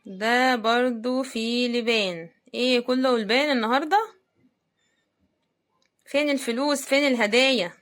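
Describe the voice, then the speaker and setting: Casting: Egyptian woman, fairly high voice, chatty unboxing host speaking straight to viewers. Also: normal speed, long pauses, a bit bright. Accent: Egyptian Arabic. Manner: chatty, addresses viewers directly. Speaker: host of product unboxing videos. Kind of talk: casual unboxing commentary to viewers.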